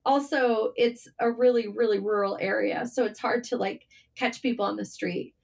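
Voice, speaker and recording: A woman's voice: a little high, steady tone, normal speed, vocal fry, a little mellow. A woman speaking as a podcast guest, a writer telling stories in a casual, conversational way and often acting out bits of remembered talk. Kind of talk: casual interview chat.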